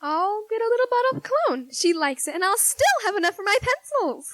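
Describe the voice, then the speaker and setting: A woman's voice: very high-pitched, very animated, fairly quick, fairly bright tone, dry recording, full character voice. A woman playing a vain, pouting youngest sister, a prim and affected schoolgirl in a 1940s-style radio drama. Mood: self-satisfied.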